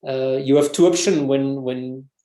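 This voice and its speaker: A man's voice: medium pitch, fairly even intonation, measured pace, dark tone. French-accented man in an echoey room. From France. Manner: hesitant, many restarts and fillers. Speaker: guest, researcher and author. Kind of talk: casual interview conversation.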